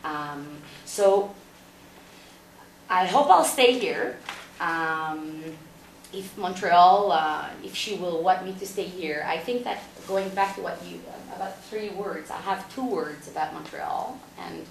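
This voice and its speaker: A woman gives a personal conference talk, speaking haltingly with frequent restarts and fillers. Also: on the low side, vocal fry. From Israel. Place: conference room without windows. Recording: echoey room from far off, faint noise.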